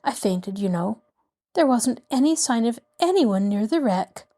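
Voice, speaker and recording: A woman's voice: fairly high pitch, lively intonation, unhurried, dark tone. A woman voicing a genteel middle-aged lady in distress who pleads breathlessly and near tears. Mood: eager, fluttery.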